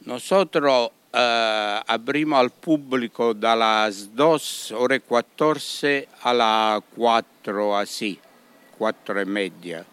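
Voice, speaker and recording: Slow Italian man with a smooth voice. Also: medium pitch, a bit bright, dry recording. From Italy. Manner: halting, mixes Italian words into his Spanish. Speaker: restaurant owner. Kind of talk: radio interview.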